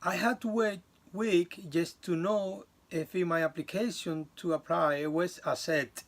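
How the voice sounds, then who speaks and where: Slow, gravelly man. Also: sits high, fairly even intonation. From Dominican Republic. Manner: halting and earnest, with restarts and some non-native grammar. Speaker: student. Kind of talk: class presentation recorded on video.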